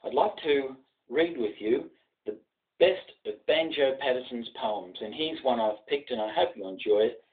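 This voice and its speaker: An older Australian man reciting bush verse in a theatrical, expressive storytelling manner. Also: medium pitch, moderate pace. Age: middle-aged or older. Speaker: poetry reader and presenter. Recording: dull lo-fi sound, distant mic.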